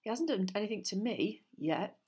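A woman's voice: medium pitch, lively intonation, moderate pace, darkish tone, husky. A woman voicing an earnest, blunt schoolboy hero in an audiobook, with a direct, youthful manner. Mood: puzzled, indignant.

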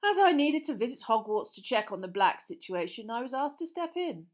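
A woman acts out a fussy middle-aged minister with a chatty, falsely cheerful way of talking. His voice is pitched well up and bright, forceful on each line. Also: big pitch swings, fairly quick. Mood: official.

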